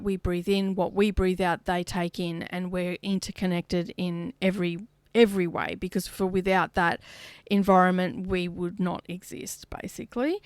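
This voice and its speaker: A woman chats casually about her crafts and a card reading, rambling along with plenty of ums. Her voice sits at a middling pitch and is recorded dry and close. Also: clear, resonant tone, dry recording. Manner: rambling, lots of um fillers. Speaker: crafting channel host.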